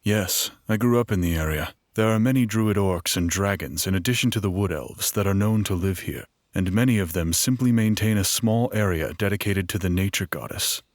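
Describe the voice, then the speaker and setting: A man playing a knowledgeable, wary minstrel guide in a fantasy audio drama, measured and explanatory. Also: low-pitched, dry recording, studio quality, lightly acted. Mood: informative.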